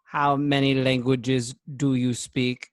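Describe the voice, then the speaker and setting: A man's voice: medium pitch, little pitch movement, slow pace, dry recording, close to an everyday voice. A man plays an officious robot overseer in a sci-fi table read. He is curt and commanding. The sound is dry, with no room around it. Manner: clipped, commanding. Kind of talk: amateur table read over video call.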